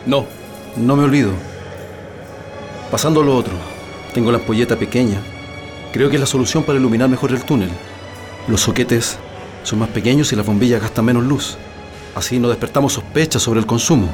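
A man playing an earnest political prisoner in a radio drama, with a Chilean accent and halting speech. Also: medium pitch, music in the background, lightly acted. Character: earnest male political prisoner plotting an escape.

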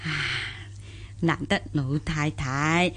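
A woman's voice: deep voice, steady tone, takes it slowly, fairly bright tone, heavily acted. A woman playing a grateful, deferential elderly countrywoman in a radio drama, rambling and sighing. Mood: humble gratitude. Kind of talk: Cantonese radio drama acting.

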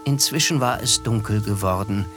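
Actor playing a wry young guy telling his memories, measured and literary, low voice over music, radio play. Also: close to an everyday voice. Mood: tender, lyrical. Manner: measured, reflective, lyrical.

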